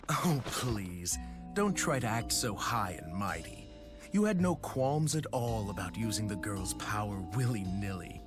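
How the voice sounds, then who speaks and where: An actor playing a smug, scheming corporate director in a video game, polite yet condescending and insinuating. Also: male voice, fairly low pitch, sing-song, unhurried. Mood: mocking. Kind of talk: video game cutscene acting. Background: background music.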